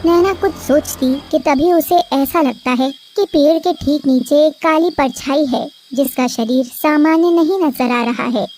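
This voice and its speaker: A woman narrating an animated story in a steady storytelling cadence. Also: sits high, unhurried, few pauses, a little hiss or hum.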